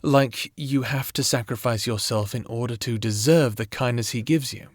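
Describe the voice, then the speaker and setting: An actor playing a gentle, encouraging therapist in an audio drama, speaking in a measured, tentative and attentive professional way. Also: male voice, medium pitch, no room sound, studio quality, close to an everyday voice. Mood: gentle, reassuring. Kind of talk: audio drama roleplay acting, one-on-one session.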